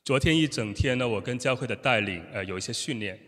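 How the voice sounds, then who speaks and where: A man relays a sermon in short chunks, his voice bright and forward. He fills the gaps with frequent hesitations as he catches each phrase. The church hall gives his voice a long echo. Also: medium pitch, fast talker. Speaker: interpreter. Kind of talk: consecutive sermon interpretation. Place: church sanctuary.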